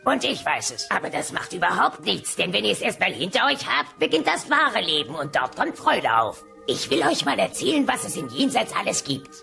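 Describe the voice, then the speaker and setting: Creaky, crabby old dinosaur granny. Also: female voice, medium pitch, very animated, brisk, hardly any pauses, pushed and bright, soft background music, heavily acted. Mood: preaching. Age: older. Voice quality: creaky, gravelly. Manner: dry, theatrical storytelling. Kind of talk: audio play acting of a TV puppet comedy.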